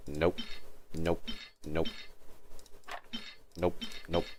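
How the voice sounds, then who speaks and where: A man's voice: sits low, level tone, drawn-out words, background noise. A man giving casual livestream commentary as he plays a video game, half muttering to himself.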